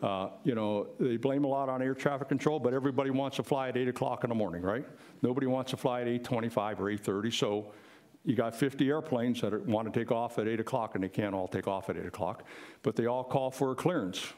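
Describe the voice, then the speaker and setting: A man's voice: mid-range voice, fast talker, darkish tone. Older man giving an informal explanatory talk. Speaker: presenter, retired air traffic controller. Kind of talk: informal explanatory presentation to a live audience.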